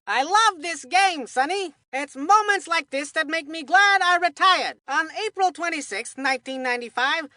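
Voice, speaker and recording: A high-pitched, folksy old-ballgame commentator for a cartoon video game. The delivery is bright and forceful, colloquial and exclamatory, captured in a dry, close studio sound. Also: female voice, no room sound. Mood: chatty, informative. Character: excitable, folksy retired baseball commentator, cartoon sidekick. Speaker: sports commentator in a children's baseball video game. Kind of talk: cartoon baseball color commentary.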